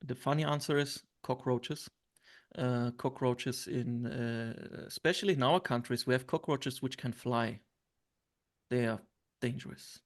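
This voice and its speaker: Syrian guy, gravelly voice, lots of ums and restarts in an interview. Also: mid-range voice. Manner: hesitant, many fillers and restarts. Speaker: interview guest. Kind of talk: reflective interview answers.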